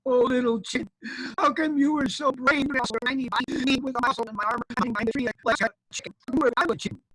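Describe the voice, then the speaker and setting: A man's voice: very high-pitched, steady tone, fast, sharp tone, husky, no echo, voice pushed up, heavily acted, low-quality recording. A man voicing a timid, grumpy, cowardly knight in a children's story, pleading and fussy. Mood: puzzled.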